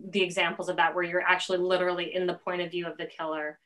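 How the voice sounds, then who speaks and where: A middle-aged woman hosting a casual interview over video call, thinking aloud in a halting way. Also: on the low side, level tone, a bit bright. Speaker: interviewer and host.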